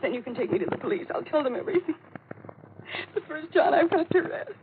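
A high-voiced young American woman in an old radio thriller, frightened and close to hysterics. She speaks in halting, breathless phrases with melodramatic pleading. The broadcast is tinny and narrow, with heavy scratchy noise. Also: big pitch swings. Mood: distraught, pleading. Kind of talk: 1940s American radio mystery acting.

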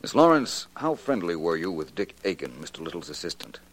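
An actor playing a suave, shrewd private detective, polished and wryly courteous, in a late 1940s radio drama. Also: male voice, sits low, big pitch swings, brisk, exaggerated voice, poor audio. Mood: coolly probing. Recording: old 1940s radio recording.

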